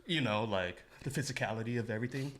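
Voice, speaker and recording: A man's voice: medium pitch, quick pace, fairly bright tone, a little hiss or hum. A man chatting casually as a podcast co-host, joking with friends in slangy, profane talk. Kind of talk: casual banter between friends.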